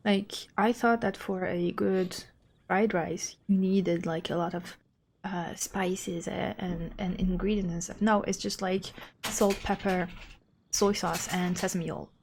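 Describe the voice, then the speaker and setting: A woman's voice: medium pitch, fairly even intonation, slow, vocal fry, a little mellow, slight background noise. A woman from Europe streaming a game, talking casually as she comments on what she plays. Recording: game music behind the voice. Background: game music.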